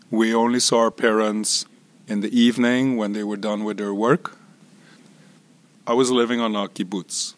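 A man in his sixties from Israel and Curaçao, telling his life story in an interview at an unhurried pace that trails off softly at phrase ends. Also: lowish voice. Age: 60s. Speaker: interview guest. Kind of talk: relaxed personal storytelling.

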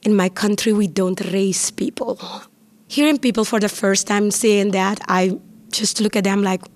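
Lively woman telling her story. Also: medium pitch, normal speed. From Curaçao. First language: Papiamentu. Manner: lively, chatty, laughs often. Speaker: interviewee. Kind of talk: personal storytelling.

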